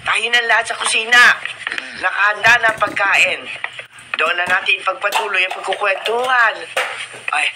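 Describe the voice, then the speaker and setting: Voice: mid-range voice, moderate pace, long pauses, close to an everyday voice. A performer playing a bustling, welcoming, chatty older woman housekeeper with a Filipino accent in a radio drama, fussing in a homely way. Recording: noisy radio drama recording.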